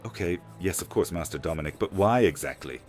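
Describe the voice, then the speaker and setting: A man's voice: sits low, lively intonation, brisk, a little mellow, soft background music. A man playing an eager older alchemist in an audio drama, theatrical, florid and ingratiating. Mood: sly, cautious. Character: eager older alchemist begging to become a vampire. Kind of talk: audio drama roleplay acting.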